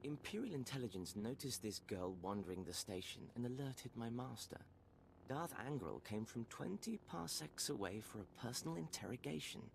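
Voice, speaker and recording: An actor playing an arrogant, cruel Imperial villain in a video game, taunting and condescending. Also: male voice, mid-range voice, lively intonation, moderate pace, creaky, slight background noise, poor audio. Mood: sneering.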